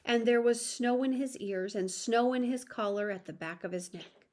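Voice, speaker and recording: A woman's voice: fairly high pitch, normal speed, a little mellow. A woman reading a children's story aloud expressively, with asides to the listener.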